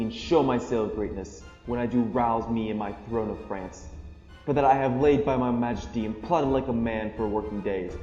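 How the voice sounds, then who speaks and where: A man's voice: mid-range voice, a little mellow, close to an everyday voice. A young man playing a resolute, regal English king in a Shakespearean audio drama, speaking formal, declamatory verse. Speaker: amateur student actor. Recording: muffled, echoey hall reverb under music.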